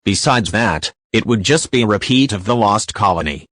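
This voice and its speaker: Man as local TV news anchor: composed, slightly low voice, dry studio-like sound, scripted read. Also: expressive, moderate pace, a bit bright, dry recording. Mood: composed, serious.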